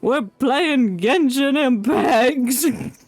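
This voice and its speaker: A woman's voice: high voice, very slow, no echo. A woman live-streaming a game with casual, rambling, joking commentary, often mimicking the characters. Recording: stream with game music behind.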